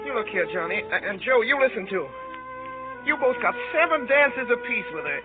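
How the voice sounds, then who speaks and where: Through a tinny old radio recording comes a very high-pitched teenage boy. He is lovesick and awkward, whining and stammering earnestly in 1930s radio drama style, with music playing behind him. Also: animated, brisk. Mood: whiny pleading.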